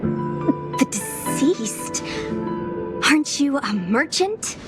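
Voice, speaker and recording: A woman's voice: sits high, very animated, very slow, exaggerated voice. A woman voicing a young, inquisitive swordswoman in a video game, speaking bluntly and informally with hesitant stammers. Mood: curious, frank. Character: inquisitive young female swordswoman raised on battlefield lore. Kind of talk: scripted video game dialogue. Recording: clear background music under the voice. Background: game music.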